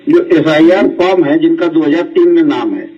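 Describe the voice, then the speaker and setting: A man's voice: on the high side, fairly quick, mellow, breathy edge. A man politely raising a complaint to an official on a recorded phone call. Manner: polite, keeps saying yes while listening. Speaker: party worker calling an official. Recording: muffled phone call heard from far.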